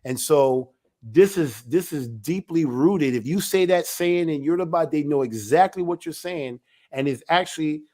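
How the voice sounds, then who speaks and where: A man, an elder of a ministry, giving a religious teaching talk in an emphatic, animated way. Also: a little high, normal speed. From United States.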